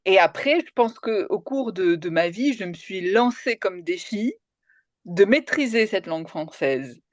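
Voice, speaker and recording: A woman's voice: mid-range voice, expressive, unhurried, dry recording. A woman in her forties from India, raised in France, whose first language was Tamil, giving reflective, personal answers as a guest journalist in an interview. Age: 40s.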